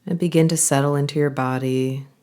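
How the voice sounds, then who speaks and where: Woman, very low pitch, soft dark tone, calm guided meditation, gentle unhurried instructions, pauses. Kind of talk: guided body scan meditation.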